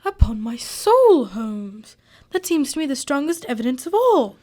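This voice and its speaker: A woman playing a puzzled, loyal gentleman companion and storyteller in a radio drama, earnest and old-fashioned in phrasing. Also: high voice, sing-song, measured pace. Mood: astonished.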